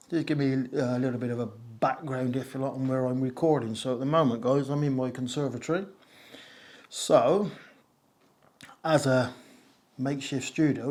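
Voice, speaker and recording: A man speaks casually to camera, a little hoarse after a cold. He rambles and wanders with plenty of "uh" and "so" and pokes fun at his own jokes. His voice is caught on a wireless lapel mic in a glass conservatory with a touch of echo. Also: medium pitch, a little mellow. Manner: rambling, many "uh" and "so" fillers, self-mocking jokes. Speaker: video creator explaining audio gear. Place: conservatory used as a home studio, a little echoey.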